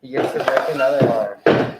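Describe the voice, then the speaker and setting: A man's voice: medium pitch, monotone, a little fast. A young man chatting loosely and joking as a co-host in a hot studio. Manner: joking, loose, laughs often. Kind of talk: casual chat. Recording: echoey room with loud noise.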